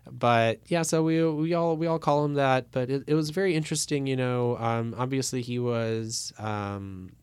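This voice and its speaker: A man in his thirties, born in Moscow, talking thoughtfully as a guest with many pauses and fillers. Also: mid-range voice, clean tone, no echo. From Russia. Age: 30s. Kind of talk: casual interview talk.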